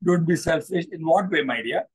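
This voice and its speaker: Indian guy, kinda high voice, coach telling a simple story, deliberate and repeats himself. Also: breathy edge. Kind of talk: unscripted moral storytelling to a group. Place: open balcony or corridor of a building.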